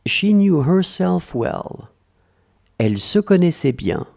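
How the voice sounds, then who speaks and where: A language tutor voice reading short phrases in slow dictation, repeating each one and splitting it into chunks. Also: medium pitch, big pitch swings, creaky, darkish tone.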